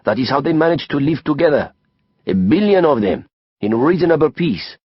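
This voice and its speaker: Audiobook role: florid, opinionated French man of the world; French accent, animated high-lifted delivery, husky edge. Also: a little fast, no room sound, dull audio. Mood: earnest, passionate. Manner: emphatic, declamatory, repeats phrases for effect. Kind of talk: audiobook character acting.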